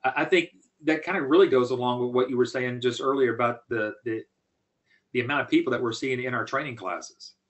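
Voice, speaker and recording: A man's voice: medium pitch, a little fast, fairly bright tone, lo-fi sound. A man hosting a business webinar in an informal, folksy way, often rephrasing himself as he presents. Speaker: webinar host, business coach.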